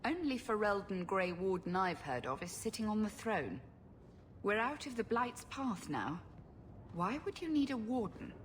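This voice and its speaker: A woman's voice: mid-range voice, big pitch swings, normal speed, slight background noise, natural voice. An actress playing a proud, protective refugee woman in a video game, speaking in a stern, curt way. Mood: curt, wary.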